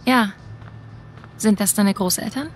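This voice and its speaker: A woman's voice: mid-range voice, a little slow, slight background noise, close to an everyday voice. A woman playing a wry, friendly young woman in a video game, with a dry, casual way of talking. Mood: playful. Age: young adult. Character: wry, friendly young woman, long separated from her brother. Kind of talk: naturalistic video game dialogue and inner monologue.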